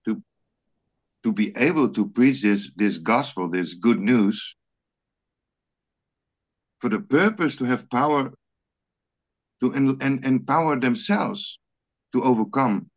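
A Frisian man leading a scripture study on a video call, speaking English with many halting restarts and repeated words. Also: medium pitch, expressive. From Netherlands. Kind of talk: online religious study group discussion.

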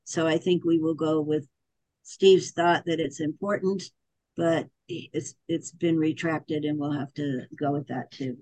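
A woman leading a casual group discussion, speaking hesitantly with frequent pauses and fillers. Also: low-pitched, steady tone, dark tone, dry recording, low-quality recording.

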